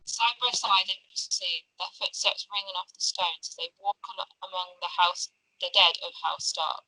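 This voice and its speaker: A woman's voice: on the low side, normal speed, bright, forceful tone, no room sound, poor audio. A woman narrating the stage directions of a script at a table read, occasionally stumbling over the text.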